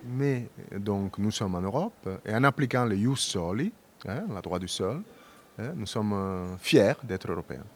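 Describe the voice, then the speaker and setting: Low-voiced male interpreter. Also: lively intonation. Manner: measured first-person rendering with hesitations. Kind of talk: live consecutive interpretation.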